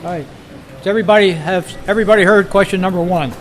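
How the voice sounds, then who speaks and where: A man's voice: high-pitched, steady tone, fast, low-quality recording. A man acting as quiz master at a club meeting, introducing and reading out trivia questions.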